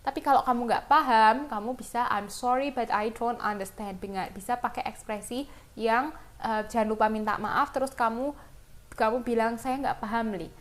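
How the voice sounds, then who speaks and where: Female English teacher, Indonesian accent, fairly high voice, informal and conversational while explaining phrases. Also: steady tone, moderate pace. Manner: informal, conversational, addresses students directly. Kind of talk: lesson explanation, reading example phrases and translating them.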